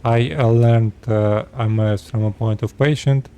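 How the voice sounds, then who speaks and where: A 36-year-old man from Russia with a Russian accent, speaking halting second-language English as he talks casually to his viewers. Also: medium pitch, steady tone, warm tone, clean tone. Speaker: vlogger. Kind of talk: casual personal talk to camera.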